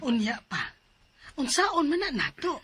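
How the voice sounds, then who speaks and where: A woman's voice: high-pitched, wildly swooping pitch, unhurried, a bit bright, heavily acted. A woman playing a worried, peace-loving middle-aged mother in an audio drama. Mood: puzzled.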